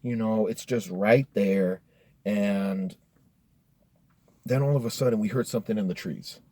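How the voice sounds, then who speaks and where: Young american guy, lowish mellow voice, dry sound, telling a scary story casually, lots of swearing. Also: a little slow, dry recording. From Colorado, United States. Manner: profane, slangy, addressing listeners as dude and bro. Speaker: storyteller, host of a story-time series. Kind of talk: casual spoken personal horror story.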